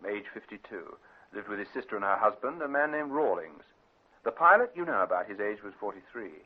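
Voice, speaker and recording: An actor playing an authoritative private detective in a classic radio drama, with an English accent and a clipped, decisive delivery. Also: male voice, mid-range voice. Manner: clipped, decisive, matter-of-fact. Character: brisk, authoritative British male private detective. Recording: dull vintage radio recording.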